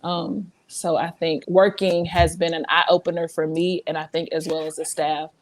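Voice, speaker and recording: A woman's voice: on the low side, little pitch movement, normal speed. A young woman from South Carolina with a light Southern accent, talking earnestly and reflectively as a podcast guest, with many restarts. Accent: Southern American. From South Carolina, USA. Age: young adult. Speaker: podcast guest, teacher abroad. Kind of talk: casual podcast conversation.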